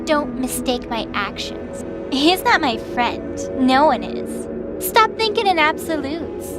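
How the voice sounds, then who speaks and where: Wicked young goddess played by a woman: high-pitched, mocking, menacing audio drama villain over a clear music bed. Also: expressive, takes it slowly. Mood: scornful. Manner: taunting, menacing. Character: malevolent young goddess, sly mocking phantom queen.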